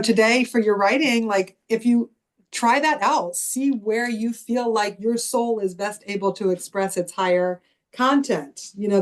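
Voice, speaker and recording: A woman hosting and guiding a spiritual writing workshop, encouraging and loosely phrased with frequent restarts. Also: mid-range voice. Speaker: host and writing group leader.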